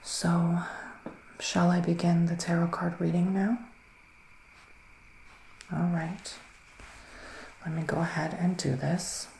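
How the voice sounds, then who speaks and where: Male ASMR witch, whispery and reassuring, gravelly fry, unhurried and soothing, roomy sound. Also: fairly high pitch, little pitch movement, slight background noise. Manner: soft-spoken, soothing, unhurried, with pauses. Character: gentle, reassuring male witch giving psychic readings. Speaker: ASMR performer playing a psychic reader.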